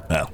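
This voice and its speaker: A man playing a weary, philosophical cursed werewolf in an intimate ASMR roleplay, musing in courteous, old-fashioned words with long, hesitant pauses. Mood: grateful, warm. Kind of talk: slow intimate ASMR audio roleplay acting. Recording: background crowd murmur.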